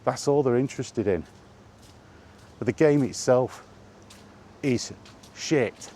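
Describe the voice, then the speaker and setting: British man, mid-range voice, exasperated sweary rambling, informal gaming vlog, clear outdoor background noise. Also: medium pitch, takes it slowly, warm tone. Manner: exasperated, sweary, repetitive rhetorical questions. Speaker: gaming vlogger. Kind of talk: off-the-cuff rant while out walking.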